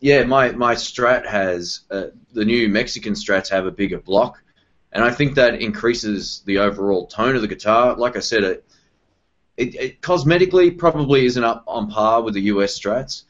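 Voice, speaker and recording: A man with a husky voice in casual chat about guitars. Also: fairly low pitch, moderate pace, fairly bright tone, muffled recording.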